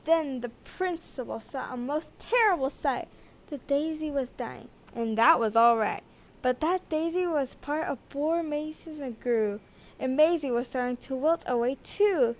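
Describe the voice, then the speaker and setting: High-voiced woman reading a children's story. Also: unhurried. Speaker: storybook reader. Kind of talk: expressive children's rhyming story narration.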